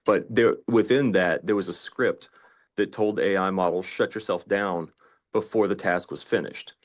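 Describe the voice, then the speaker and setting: A man's voice: low voice, fairly even intonation, moderate pace. A man arguing his points as a juror in a discussion, in an emphatic, insistent, legalistic manner. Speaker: juror in a mock trial debate. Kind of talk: argumentative discussion.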